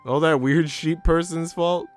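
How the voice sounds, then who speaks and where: A man's voice: fairly high pitch, fairly even intonation, unhurried, music in the background. A man streaming a game, chatting casually in a rambling, irreverent, sweary way. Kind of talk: casual livestream chat and commentary.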